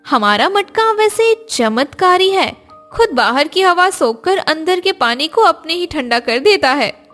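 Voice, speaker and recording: A woman voicing a cheerful, curious young Indian daughter-in-law in an animated family story, with expressive household chatter. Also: very high voice, a little slow, soft background music, heavily acted. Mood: lively, curious.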